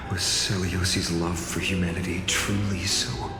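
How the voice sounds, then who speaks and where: A man playing a grave, pensive warrior in a fantasy game, with a formal, deliberate, brooding delivery. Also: low-pitched, level tone. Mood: brooding, questioning. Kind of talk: dramatic fantasy game voice acting. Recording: echoey hall with music behind.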